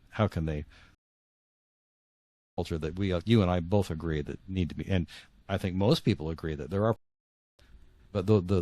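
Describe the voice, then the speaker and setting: A man speaking as an interview guest, earnest and opinionated, in halting conversational talk that repeats words. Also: low voice, darkish tone, dry recording.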